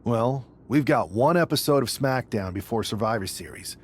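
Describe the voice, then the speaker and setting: An actor playing a confident, scheming male wrestler in a video game cutscene, talking in a measured, plotting way. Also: mid-range voice, lively intonation, slightly rough. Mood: sly, determined.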